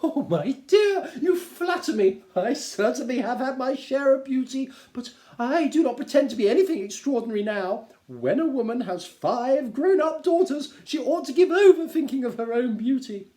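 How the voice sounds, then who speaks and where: A man voicing a fussy, excitable middle-aged wife, gushing and plaintive, in a theatrical reading of a classic novel. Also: very high voice, moderate pace, hardly any pauses, voice pushed up. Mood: coaxing. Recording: roomy, echoing indoor sound.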